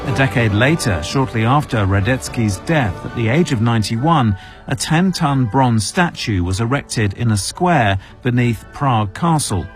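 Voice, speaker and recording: Measured male news correspondent. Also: mid-range voice, animated, over music. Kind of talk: news report narration.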